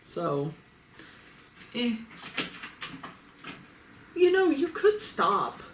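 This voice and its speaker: A woman's voice: a little high, wildly swooping pitch, drawn-out words, slight background noise. A Midwestern woman reading viewer comments aloud in a casual vlog, blunt, sarcastic and freely swearing. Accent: Midwestern American. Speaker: adult toy reviewer and YouTuber. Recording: roomy echo with faint ambience.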